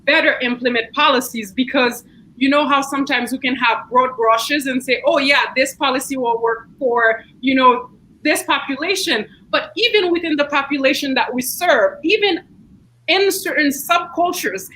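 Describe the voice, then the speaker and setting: A woman's voice: high-pitched, moderate pace, bright, forceful tone, a little hiss or hum, low-quality recording. A woman with a Haitian accent, a city council member speaking earnestly and thoughtfully in an online book discussion, often restarting her sentences. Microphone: video call.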